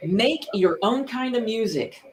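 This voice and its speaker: A woman's voice: a little high, animated, a little slow, slight background noise. A woman, a church musician, casually introducing a song. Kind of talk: casual chat before singing.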